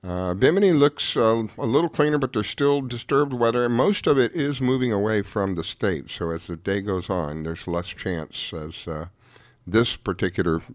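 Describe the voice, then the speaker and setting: A hoarse man hosts a casual radio chat, his voice sitting a little low for a man. He rambles through the weather with frequent restarts and fillers. The sound is close and dry, with no room around it. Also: moderate pace, no echo. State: hoarse after a late night. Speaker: radio show host.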